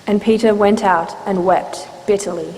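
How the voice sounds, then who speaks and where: A woman giving a measured, solemn scripted narration of scripture as part of a dramatic reading. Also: mid-range voice, steady tone, a little mellow. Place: inside a church, live reading. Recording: reverberant church hall, faint noise.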